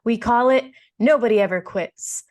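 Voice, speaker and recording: A woman's voice: fairly high pitch, normal speed, very noisy. A woman delivering scripted announcements and credits in an old-time radio style.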